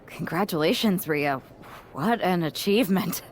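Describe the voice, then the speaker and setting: Woman acting a low-voiced, animated wrestling boss. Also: normal speed, heavy background noise, natural voice. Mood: polite, probing. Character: polished, wary female wrestling authority figure. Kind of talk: scripted video game cutscene acting.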